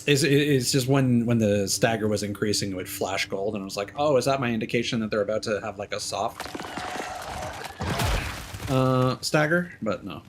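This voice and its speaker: A man's voice: lowish voice, normal speed, noisy background. A man streaming a video game, casually thinking aloud and hesitating with fillers as he plays. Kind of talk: casual gameplay commentary.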